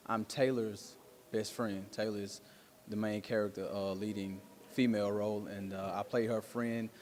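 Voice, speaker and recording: A man giving casual interview answers in a halting, earnest, conversational way. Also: on the low side, flat delivery, a little mellow, a little hiss or hum. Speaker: stage actor being interviewed.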